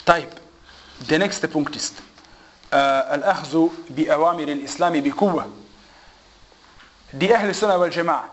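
High-voiced man teaching a religious circle. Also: lo-fi sound. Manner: animated storyteller, repeats and restarts phrases. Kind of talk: informal religious lesson with anecdotes.

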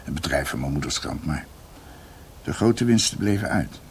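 An actor playing a brooding doctor who recounts his family's history in a halting, reflective, emphatic way, as in a radio drama. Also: rumbling low, sing-song, slight background noise, full character voice.

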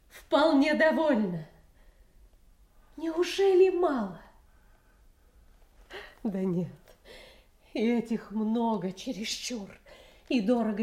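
An actress playing a cold, haughty princess in a classical audio drama, addressing her aged father with measured condescension. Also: high voice, mellow. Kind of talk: Russian classical stage drama acting, audio play. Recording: roomy, echoing studio audio play.

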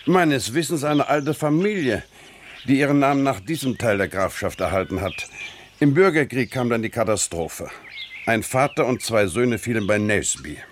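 A man playing a courteous, wry English gentleman husband in a German radio drama, urbane and fond of explaining. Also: medium pitch, lively intonation, normal speed, slight background noise, close to an everyday voice. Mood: thoughtful.

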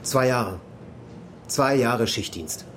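A man's voice: mid-range voice, slow pace, background noise, natural voice. An actor playing a weary, irritable male call-centre agent in a naturalistic radio drama, grumbling and sarcastic. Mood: grumpy, resigned.

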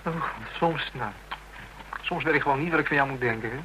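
A man's voice: medium pitch, a little fast, heavy background noise, close to an everyday voice. A man playing an insecure, blunt young suitor in a radio drama, hesitant and informal in his speech. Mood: puzzled, exasperated. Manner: hesitant, stumbling, informal, excitable. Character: Ruud, insecure jealous teasing young suitor, blunt and boyish. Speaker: actor in a radio play. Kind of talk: Dutch radio drama acting, naturalistic dialogue.

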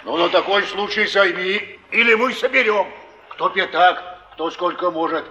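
An actor playing a gruff, practical flophouse dweller, matter-of-fact, in a 1950s Soviet stage play. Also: male voice, high-pitched, measured pace. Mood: blunt, practical. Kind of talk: naturalistic stage acting, 1950s Soviet theatre. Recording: muffled, noisy, echoey theatre recording.

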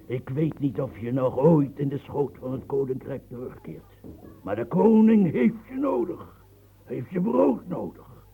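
A gravelly old marshal. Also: male voice, medium pitch, very animated, unhurried, narrow, tinny audio, heavily acted. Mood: brooding, declaiming. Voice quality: gravelly, dark and husky. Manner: grand declaiming, stammers when moved. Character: aging royal fortress engineer, proud, brooding. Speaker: military engineer marshal. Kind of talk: radio drama acting.

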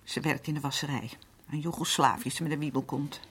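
A worn-down older housewife in a radio play, with a low, husky voice. She rambles plaintively and confides her grievances like someone who has worked all her life. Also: steady tone, brisk. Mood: confiding, bitter. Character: worn-down, chatty older working-class housewife and mother. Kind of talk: naturalistic Dutch radio drama acting.

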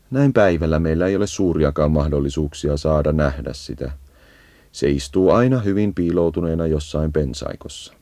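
Male narrator for a nature guide: very low voice, dark and soft-edged, measured explanatory read. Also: no echo. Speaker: narrator of an educational birdsong guide. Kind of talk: scripted educational nature narration.

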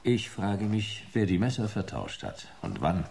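Gravelly, laconic private detective. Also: male voice, fairly low pitch, wildly swooping pitch, unhurried, a little mellow, a little hiss or hum, full character voice. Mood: dry, probing. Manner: dry, laconic. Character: dry, laconic male private detective narrating his case. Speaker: detective and narrator. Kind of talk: radio drama acting and noir narration.

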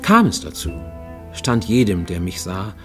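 Low-voiced, haunted confessor. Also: male voice, sing-song, measured pace, a bit bright, faint music behind, heavily acted. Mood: reflective, resigned. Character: haunted Japanese man recounting childhood guilt to a professor. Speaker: radio play actor. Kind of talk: German radio play, first-person confessional monologue.

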